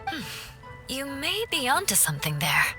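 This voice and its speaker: Proud noblewoman, fairly high, expressive. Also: moderate pace, faint music behind, full character voice. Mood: composed, dry. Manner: formal, dry. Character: proud, reserved noblewoman, formal, dryly aloof. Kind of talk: anime game cutscene voice acting.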